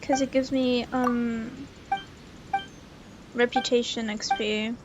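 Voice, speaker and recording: A woman's voice: on the high side, level tone, slow pace, dull audio, background noise. A young adult woman chatting casually as she plays on a gaming livestream. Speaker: game streamer. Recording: loud game music behind voice. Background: loud video game music.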